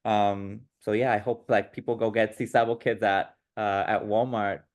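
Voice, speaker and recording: A man's voice: on the low side, flat delivery, normal speed. Enthusiastic man guest chatting. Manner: enthusiastic, filler-heavy. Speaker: podcast guest. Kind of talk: casual interview chat.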